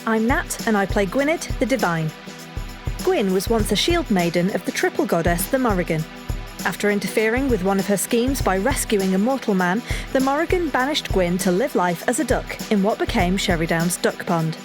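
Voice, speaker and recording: A woman's voice: medium pitch, animated, normal speed, over music. A woman reading a scripted character introduction as a player in a roleplaying show.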